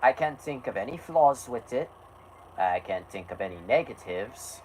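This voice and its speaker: A man's voice: mid-range voice, animated, moderate pace, a little mellow, a little hiss or hum, low-quality recording. A man from the United States with a sore throat, chatting casually and theatrically. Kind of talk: casual film review chat.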